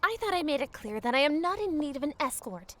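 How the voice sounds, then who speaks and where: A woman's voice: high-pitched, sing-song, fast talker, a little hiss or hum. A woman playing a frustrated, headstrong, studious young princess with formal, haughty diction in a fantasy game dub. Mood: indignant.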